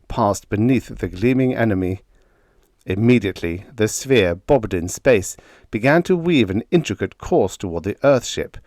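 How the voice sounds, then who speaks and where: A man's voice: lowish voice, dry recording, clean studio sound. A man narrating an audiobook of pulp adventure fiction in a dramatic, scripted reading style.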